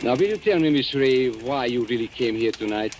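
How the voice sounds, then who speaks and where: A man's voice: mid-range voice, animated, a little fast, natural voice. A man playing a suave, menacing antique dealer and blackmailer in an old radio mystery, polite, smug and theatrical. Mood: coolly menacing. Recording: old radio recording with background hiss.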